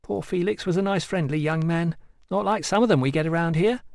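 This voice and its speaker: A man voicing a sharp-tongued barber's wife who scolds her husband. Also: a little high, brisk, gravelly, no echo, clean studio sound, pitched above the performer's own voice. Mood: scolding. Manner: scolding, reproving.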